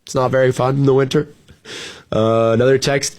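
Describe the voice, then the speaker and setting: A man's voice: mid-range voice, level tone, brisk, darkish tone. A man chatting casually as a radio host, conversational and full of informal asides. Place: radio studio. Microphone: close broadcast studio mic.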